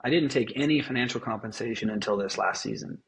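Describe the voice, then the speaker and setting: Energetic man speaking candidly as a guest. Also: mid-range voice, fast, gravelly, a little hiss or hum, low-quality recording. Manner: energetic, casual swearing, frequent restarts. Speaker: guest, festival founder. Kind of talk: casual interview conversation.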